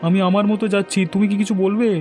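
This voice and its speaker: A man acts out a poor, meek villager for a Bengali cartoon. His high, mellow voice pleads quickly and submissively over faint music. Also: flat delivery, lightly acted. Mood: timid. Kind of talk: Bengali cartoon story acting.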